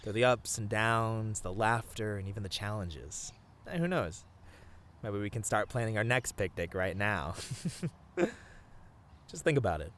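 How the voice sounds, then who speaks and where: A man playing a tender, sappy boyfriend in a romantic ASMR roleplay, speaking softly and earnestly to the listener with small hesitant stammers. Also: fairly low pitch, lively intonation, unhurried, a little hiss or hum. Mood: playful, affectionate. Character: tender, sappy boyfriend confessing love on a picnic.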